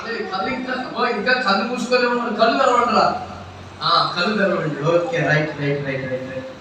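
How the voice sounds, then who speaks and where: A man's voice: high-pitched, fast. A man hosting a church children's show, animatedly addressing the kids with repeated questions in call and response. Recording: very echoey hall, distant mic, noisy.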